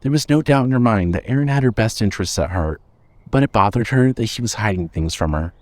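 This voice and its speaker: A man's voice: lowish voice, expressive, fast talker, no echo. A man reading scripted fiction as the narrator of an audio story.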